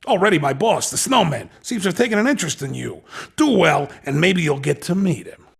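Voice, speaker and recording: A man voicing a slick, pushy underground race recruiter in a video game, with a raspy voice and cocky, insinuating salesman patter. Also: on the high side, lively intonation, a little fast, fairly bright tone, full character voice. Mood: excited approval. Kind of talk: video game character dialogue.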